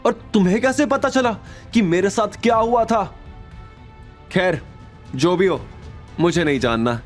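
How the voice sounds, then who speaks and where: Arrogant young rival for audio drama, male performer: high, animated, mocking bully who jeers and talks down to everyone. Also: a little slow, faint music behind. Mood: indignant, dismissive. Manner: jeering, taunting, indignant. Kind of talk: Hindi audio drama acting.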